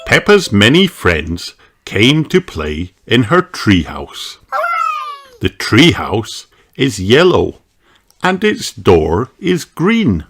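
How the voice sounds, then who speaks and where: A man reading picture books aloud for children in a slow, playful, exaggerated storytelling way. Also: on the low side, wildly swooping pitch, a bit bright, breathy edge. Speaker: storybook reader.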